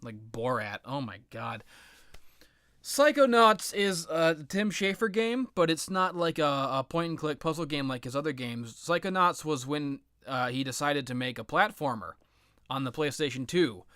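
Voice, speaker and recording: Man, forceful bright voice, dry close sound, rambling game commentary, sarcastic, profane, repetitive. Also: on the high side, expressive, moderate pace, dry recording. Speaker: video game reviewer and host.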